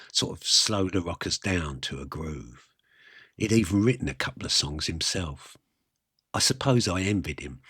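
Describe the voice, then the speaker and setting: A man with a husky voice performing a wry, self-mocking rock and roll fan's conversational monologue about his youth. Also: deep voice, fairly bright tone, no echo, clean studio sound, full character voice. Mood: wistful, reminiscing. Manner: colloquial, self-mocking, reminiscing. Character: Johnny, wry music-mad eighteen-year-old English rock and roll poser. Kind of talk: first-person dramatic monologue, conversational storytelling.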